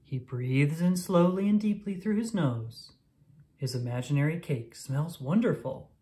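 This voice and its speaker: A woman reading a children's picture book aloud in an expressive, deliberate storytelling manner for young listeners. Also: deep voice. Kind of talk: scripted read-aloud narration of a picture book.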